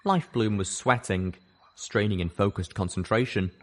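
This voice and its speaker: A man reading a first-person fan fiction story aloud in a measured, expressive way. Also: fairly low pitch. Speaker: audiobook reader.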